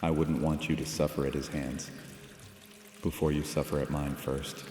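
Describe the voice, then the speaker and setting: A man's voice: extremely low pitch, level tone, moderate pace, a little mellow, slight background noise. A man playing a cocky, carefree, flirtatious vampire in an immersive fantasy audio drama, teasing and lazily seductive. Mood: cocky, dismissive. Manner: teasing, lazy, seductive, flippant. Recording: long reverb, music bed, water sounds.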